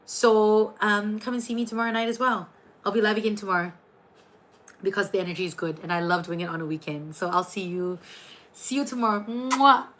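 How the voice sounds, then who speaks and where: Brief: young woman with a New Zealand accent, tarot reader on a livestream, chatty and a bit tired, mid-range pitch. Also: brisk. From New Zealand, raised partly in Singapore. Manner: chatty, animated, reading out viewer names. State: tired, late at night. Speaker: tarot and astrology reader hosting a live stream. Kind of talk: casual livestream chat with viewers.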